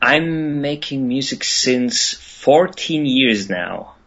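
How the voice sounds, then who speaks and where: A man in his twenties with a German accent, answering interview questions hesitantly, with many ums and pauses. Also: mid-range voice, lively intonation, fairly bright tone. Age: 20s. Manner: hesitant, many ums and uhs, laughs often. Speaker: interview guest, musician. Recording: lo-fi online radio call.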